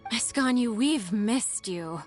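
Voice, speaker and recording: A woman's voice: fairly high pitch, lively intonation, slow pace, soft background music. An actress voicing a weary, adoring female office worker in a video game.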